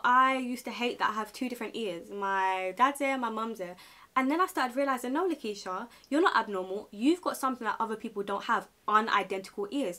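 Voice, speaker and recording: A woman's voice: medium pitch, sharp tone. A young woman chatting casually in a motivational way, candid and emphatic, repeating phrases to drive points home. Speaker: vlogger giving self-esteem advice. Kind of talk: casual motivational chat to camera.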